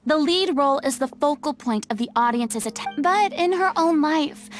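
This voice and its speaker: High-pitched, commanding theatrical young woman. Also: animated, moderate pace, dry recording, faint music behind. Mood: stern. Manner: authoritative, dramatic. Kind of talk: dramatic video game voice acting.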